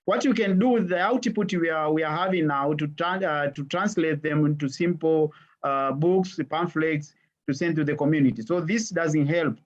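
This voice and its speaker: Halting man on a video call. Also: a little high, muffled recording. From Tanzania. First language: Swahili. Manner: halting, many fillers and restarts. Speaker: archaeologist. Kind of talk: webinar panel answer.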